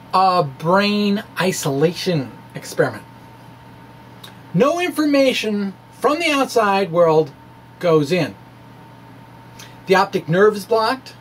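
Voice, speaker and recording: A middle-aged man giving a dramatic reading of a science blog, with deliberate, emphatic phrasing and informal asides. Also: high voice, very animated, a little hiss or hum, low-quality recording. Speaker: presenter, science blogger.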